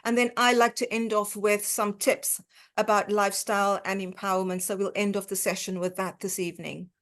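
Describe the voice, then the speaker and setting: A woman's voice: medium pitch, level tone, brisk, fairly bright tone. South african lady giving an online talk on diet, lots of ums, restarts herself. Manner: hesitant, many fillers and restarts. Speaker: dietitian lecturer. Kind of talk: online lecture presentation.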